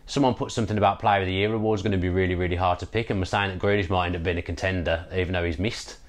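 Brief: thirties bloke, low voice, rapid football chat over a video call, repeats words as he thinks. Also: fairly even intonation. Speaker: football podcast co-host. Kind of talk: casual post-match football chat. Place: home room, indoors. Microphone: video call with earphone mic.

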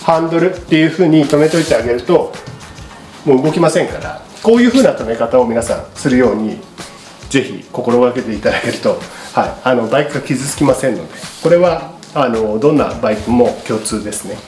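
A middle-aged man presents to camera in an informal, courteous, explanatory manner. His voice sits at an average pitch. The room adds clear echo, and music plays faintly behind him. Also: a little fast, darkish tone. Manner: polite, explanatory, unscripted. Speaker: bicycle shop presenter. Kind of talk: casual product explanation to camera. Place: small indoor studio with paper backdrop.

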